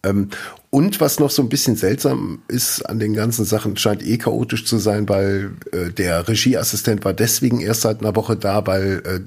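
A man with a fairly low, husky voice chats on a podcast in a relaxed way. He fills his sentences with hesitations and now and then reads short news items aloud. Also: normal speed. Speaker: podcast co-host. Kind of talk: casual podcast chat.

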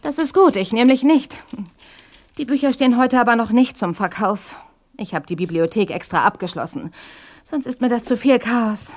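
An actress playing an overwhelmed young granddaughter in a German audio drama, talking in a frank, chatty, slightly flustered way. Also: female voice, fairly high pitch, animated, normal speed, lightly acted. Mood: flustered, grateful. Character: overwhelmed grieving young granddaughter clearing out an inherited house.

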